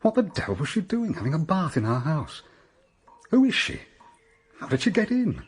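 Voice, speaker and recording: A blunt young upper-class Englishman in a period radio play. His voice is husky and slightly high, leaping about excitably. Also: normal speed, exaggerated voice. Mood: bewildered. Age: young adult. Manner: blunt, exclamatory. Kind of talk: period radio drama acting.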